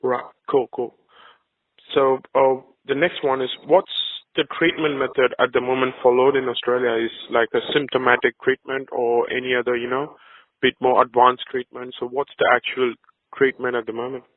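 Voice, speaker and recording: A narrow, tinny phone line carries a man acting as interviewer. His pitch is mid-range, and he sounds informal and hesitant, rewording his questions as he puts them. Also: level tone, normal speed. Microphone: telephone.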